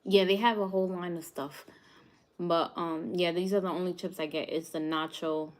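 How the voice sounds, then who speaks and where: A woman's voice: on the low side, fairly even intonation, normal speed. A woman from New York hosting a live cooking stream, chatting casually with viewers and laughing often. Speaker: cooking show host.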